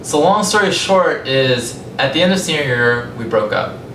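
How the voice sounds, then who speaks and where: Young male presenter, American accent, conversational storytelling with measured pauses; roomy, noisy recording. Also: expressive. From California, United States. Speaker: language company founder giving a talk. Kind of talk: public talk, personal storytelling. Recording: echoey, distant mic, rumbling noisy room.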